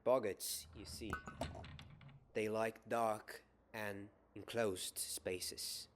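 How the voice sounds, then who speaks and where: A young man playing a gentle wizard professor in an ASMR roleplay, soft-spoken and often whispering, measured and encouraging. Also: fairly low pitch, steady tone. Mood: calm, encouraging. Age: young adult. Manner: measured, encouraging teacher, repeating words softly. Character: gentle wizard professor teaching a magic class.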